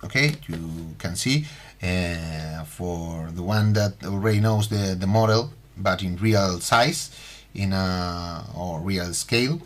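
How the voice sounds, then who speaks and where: Spanish-accented male hobby host, low-pitched, slow and halting delivery. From Spain. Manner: halting, many fillers and restarts. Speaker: scale model hobby host. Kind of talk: unboxing tutorial.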